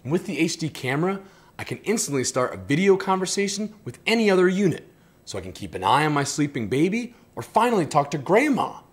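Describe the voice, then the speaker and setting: A man's voice: on the high side, very animated, quick pace. A man in his thirties doing an upbeat, scripted comedic product presentation in a salesman's delivery. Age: 30s.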